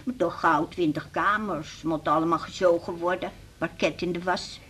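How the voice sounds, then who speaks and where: Casting: cantankerous, muddled woman in her eighties, rough husky voice, cheeky rambling delivery, radio play. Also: lowish voice, steady tone, moderate pace, a bit bright. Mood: chatty, contrary. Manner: contrary, rambling, blunt. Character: stubborn, forgetful 83-year-old mother, cheeky and rambling. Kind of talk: 1980s Dutch radio drama acting.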